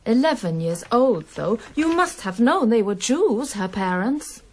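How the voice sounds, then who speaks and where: A woman's voice: on the high side, sing-song, measured pace, a little mellow. An actress playing a fierce, outspoken young woman who questions directly and persistently in a radio drama. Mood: insistent, challenging. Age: young adult. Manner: probing, direct, insistent.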